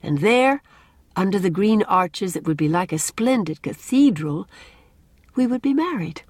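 A woman voicing a cheerful, romantic young bride-to-be in an audiobook, expressive and lyrical with a teasing touch. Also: mid-range voice, a little slow, no echo, pitched above the performer's own voice. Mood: dreamy. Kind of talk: audiobook character dialogue.

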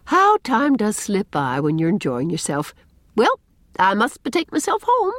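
A woman voicing a brisk, opinionated middle-aged village spinster in a storybook, with an emphatic, folksy, tart way of talking. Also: mid-range voice, wildly swooping pitch, no echo, studio quality, pitched above the performer's own voice. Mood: brisk, hearty.

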